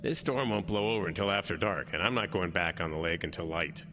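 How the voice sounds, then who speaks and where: An actor playing a gruff, practical park service boatman with a blunt, terse manner in a radio drama. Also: sits low, fairly quick, bright, forceful tone, in a large hall. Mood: irritated, urgent.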